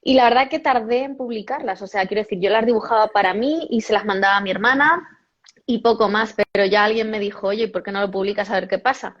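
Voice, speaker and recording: A woman's voice: medium pitch, quick pace, a bit bright. A woman, a yoga cartoonist, chatting casually as an interview guest, correcting herself often and laughing now and then. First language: Spanish. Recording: dull lo-fi audio.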